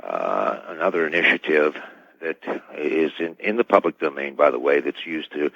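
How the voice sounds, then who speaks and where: An American man speaking as an expert guest in a radio interview, with a halting, hedging delivery. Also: rumbling low, expressive, creaky, a bit bright, slightly rough, no room sound. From United States. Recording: dull band-limited radio line.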